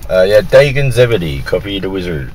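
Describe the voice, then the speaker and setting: Low-voiced man on amateur radio. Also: big pitch swings, a little fast, darkish tone, a little hiss or hum. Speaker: radio operator, video maker. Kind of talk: casual amateur radio chat, calling down a list.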